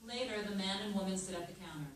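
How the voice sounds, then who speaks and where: A woman reading stage directions aloud at a script table read, in a steady, matter-of-fact way. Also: mid-range voice, fast. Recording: echoey room, far mic, noise.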